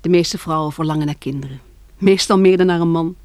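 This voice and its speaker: A woman's voice: low voice, brisk, a little mellow, no room sound, lightly acted. An actress playing a frank, wry young woman in intimate radio drama dialogue, with a candid, teasing way of talking. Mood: frank, relaxed.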